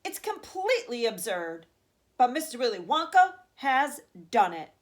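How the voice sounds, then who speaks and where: A woman's voice: a little high, very animated, measured pace, pitched above the performer's own voice. Woman reading as an eager, emphatic old grandfather. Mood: emphatic, excited. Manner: emphatic, dramatic, savoring each detail. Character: eager, excitable, kindly old grandfather storyteller. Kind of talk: children's storybook read aloud.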